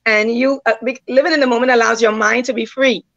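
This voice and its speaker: A woman's voice: a little high, steady tone, fast talker, a bit bright. A woman speaking earnestly as a guest in a conversational online panel, on dull lo-fi call audio.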